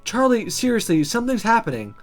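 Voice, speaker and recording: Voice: medium pitch, very animated, fairly quick, faint music behind, voice pushed up, natural voice. A performer voicing a cautious, reluctant student turned into a girl in a visual novel, dry, sarcastic and grumbling.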